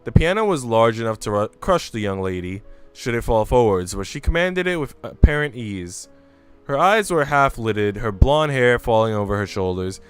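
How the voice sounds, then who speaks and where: A man with a smooth, clear voice, livestreaming as he reads a visual novel aloud and chats casually. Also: medium pitch. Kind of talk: livestream narration and casual chat.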